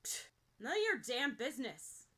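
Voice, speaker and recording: Woman doing a surly teen boy, curt, snapping, dismissive, bright voice. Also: high voice, sing-song, moderate pace, heavily acted. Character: gruff, surly, hot-tempered teenage boy.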